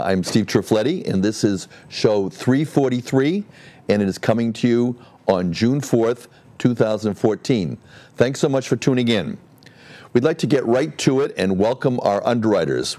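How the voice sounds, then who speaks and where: A man's voice: on the low side, a little mellow. A man guest-hosting a local cable talk show in a TV studio, friendly, reading through sponsors with fillers. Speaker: guest host.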